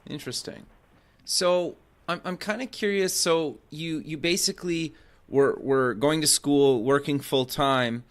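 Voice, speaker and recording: A man's voice: a little high, a little slow. A man hosting a podcast, chatting casually with a guest and often using fillers like "kinda" and "sure.". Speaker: podcast host.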